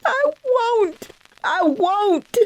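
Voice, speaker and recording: A woman's voice: extremely high pitch, expressive, drawn-out words, no echo, pitched above the performer's own voice, exaggerated voice. A woman playing a distraught but defiant captive in a radio drama, wailing tearfully and desperately. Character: distraught, defiant woman pleading with her captor. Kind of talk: old-time radio drama acting.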